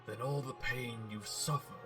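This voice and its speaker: A man's voice: mid-range voice, fairly even intonation, moderate pace, recorded from a distance, music in the background, natural voice. A man voicing an eloquent, pompous robot warlord who speaks with theatrical, polite menace in a dramatic comic book reading. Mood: menacing, condescending.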